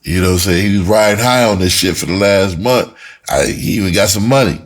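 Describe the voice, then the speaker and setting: A man's voice: low voice, brisk. A middle-aged American man telling a personal story casually and candidly, in a rambling, slangy way with explicit language. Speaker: storyteller, writer and music producer.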